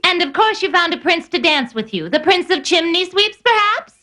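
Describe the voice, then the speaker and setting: A woman's voice: very high voice, big pitch swings, moderate pace, bright, forceful tone, heavily acted. A woman playing a vain, mocking young stepsister in a fairy-tale cartoon, taunting and smug. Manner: taunting, sneering. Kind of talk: animated fairy-tale dub acting.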